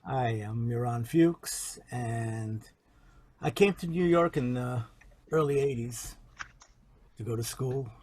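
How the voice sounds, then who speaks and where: A record producer in his fifties telling his background from his garage studio in a measured, reflective way. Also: mid-range voice, darkish tone. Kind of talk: self-introduction on a call.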